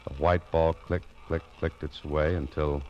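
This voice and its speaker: An actor playing a world-weary, wry American private detective in a deadpan radio noir style. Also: male voice, rumbling low, a little slow, very noisy. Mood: wry suspense. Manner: dry, deadpan, wisecracking. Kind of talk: 1940s-50s radio noir narration and dialogue. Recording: muffled vintage radio recording.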